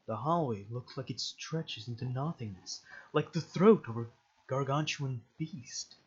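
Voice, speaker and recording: Man, medium pitch, let's play host, reading game text aloud, jokey sweary reactions, muffled lo-fi mic. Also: husky. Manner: sweary, jokey exclamations between read-aloud passages. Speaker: gaming video host.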